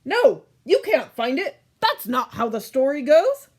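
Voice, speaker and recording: A woman acts as an exasperated female storyteller in a comic children's tale. She speaks slowly and deliberately, with a high, very expressive voice, as she corrects her characters.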